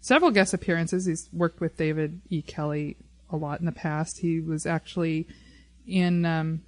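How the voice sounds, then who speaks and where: Chatty woman podcaster, slightly low voice. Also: normal speed, no room sound. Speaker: podcast co-host. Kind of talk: casual fan discussion of a TV show.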